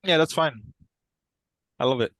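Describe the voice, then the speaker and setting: A man's voice: mid-range voice, a little slow, fairly bright tone, no room sound, clean studio sound, close to an everyday voice. A man playing an agreeable brother in a role-played therapy session, polite, brief and a little tentative. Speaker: therapy client. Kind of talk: improvised role-play dialogue.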